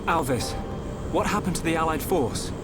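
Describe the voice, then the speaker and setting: An actor playing an earnest, impassioned young hero in a video game cutscene. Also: male voice, fairly high pitch, lively intonation, normal speed, natural voice. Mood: subdued, concerned. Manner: earnest, emotionally intense. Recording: loud music behind.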